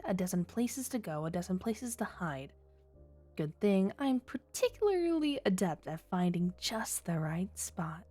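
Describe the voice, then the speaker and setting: A woman's voice: medium pitch, a little mellow, close to an everyday voice. A woman playing a flirty, teasing female criminal who toys with a detective in a coy, sultry ASMR roleplay. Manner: coy, sultry, playful innuendo.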